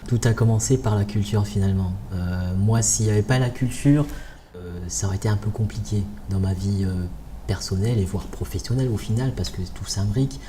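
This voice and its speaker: Male, non-native French, very dark mellow low voice, conversational interview with hesitations. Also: fairly quick, a little hiss or hum. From born abroad, raised on Réunion from age six. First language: not French. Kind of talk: casual documentary interview.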